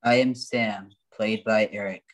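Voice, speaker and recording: A man playing a grumpy, sarcastic robot bartender with a flat robotic delivery in an amateur radio play. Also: fairly low pitch, unhurried, close to an everyday voice. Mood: deadpan. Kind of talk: amateur old-time radio play acting.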